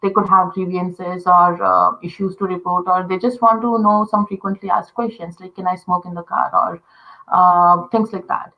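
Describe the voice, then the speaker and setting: A woman's voice: fairly low pitch, little pitch movement, moderate pace, vocal fry, dull audio. A woman from India, a voice designer patiently explaining how voice assistants work, full of "uh" and "you know". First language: Telugu. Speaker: voice interaction designer. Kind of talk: explaining in a casual interview.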